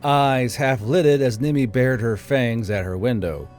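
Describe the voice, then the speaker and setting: A man's voice: mid-range voice, unhurried, darkish tone. A man reading scripted fantasy narration as an audiobook narrator. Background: background music.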